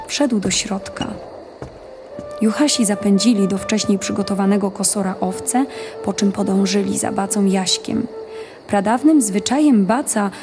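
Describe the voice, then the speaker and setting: A female storyteller narrates an audio drama at a middle pitch. Her reading is scripted and measured, with music clearly playing behind it.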